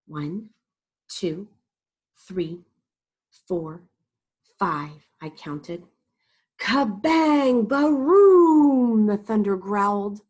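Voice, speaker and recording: Slightly husky woman reading a picture book. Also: mid-range voice, sing-song, drawn-out words, long pauses, darkish tone. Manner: dramatic, explains to young listeners. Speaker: children's storyteller. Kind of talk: expressive picture-book read-aloud.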